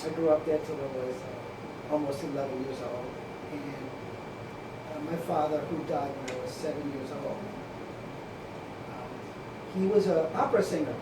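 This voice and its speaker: A man from Italy with an Italian accent, a guitarist guest telling his story in a relaxed, reflective way. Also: a little high, moderate pace, long pauses. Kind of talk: casual chat. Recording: echoey room, distant mic, background noise.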